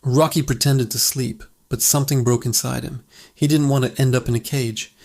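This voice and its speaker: A man reading a children's fable aloud in calm, even scripted narration. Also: mid-range voice, moderate pace, vocal fry.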